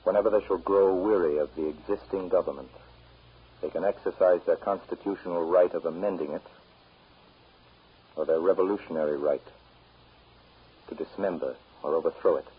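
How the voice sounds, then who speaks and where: A man's voice: low-pitched, fairly even intonation, normal speed, long pauses, slightly rough, narrow, tinny audio. An actor playing a grave, thoughtful American husband and father in 1930s radio drama style, on a scratchy old broadcast. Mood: solemn, quiet.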